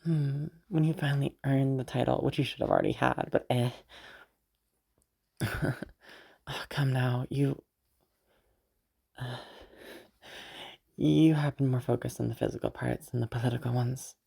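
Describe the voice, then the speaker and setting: Woman acting a low, husky young noblewoman. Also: fairly even intonation, full character voice. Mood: flustered, admiring. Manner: hesitant, halting, with soft chuckles and sighs. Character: young noblewoman, affectionate, teasing, fond of her knight. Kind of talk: roleplay audio drama, intimate conversational acting.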